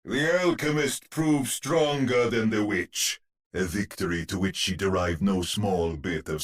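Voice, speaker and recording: Grave storybook narrator, husky, slow, theatrical, ominous, dark fantasy game. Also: male voice, deep voice, exaggerated voice. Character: grave old-world storyteller of a dark Halloween tale.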